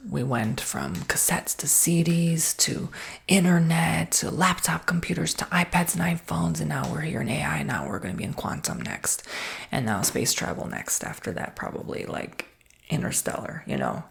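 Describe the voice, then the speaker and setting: A woman playing an easygoing, reassuring salesperson in an ASMR roleplay, soft-spoken and partly whispering in an informal, rambling way. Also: low-pitched, big pitch swings, moderate pace, creaky, full character voice. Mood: musing, candid. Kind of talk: ASMR roleplay, improvised customer-service chat.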